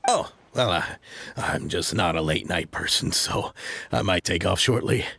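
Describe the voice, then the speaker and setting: Gravelly, jittery, cowardly man. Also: low voice, expressive, unhurried, pushed and bright, dry recording, full character voice. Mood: uneasy. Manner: hesitant, stammering, uneasy. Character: nervous, evasive, cowardly male party guest.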